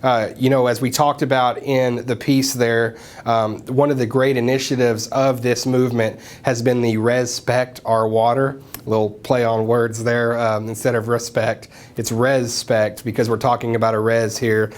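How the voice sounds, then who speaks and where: A man hosting a news show in a broadcast studio, interviewing a guest and wrapping up in a steady, conversational way with frequent fillers. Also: mid-range voice, normal speed, hardly any pauses.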